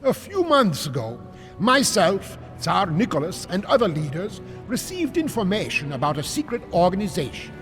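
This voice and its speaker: Imperious monarch, wildly swooping voice. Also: male voice, high voice, sing-song, normal speed, fairly bright tone, faint music behind. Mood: indignant, urgent. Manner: imperious, dramatic. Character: imperious, theatrical German monarch, mature man. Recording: long hall reverb.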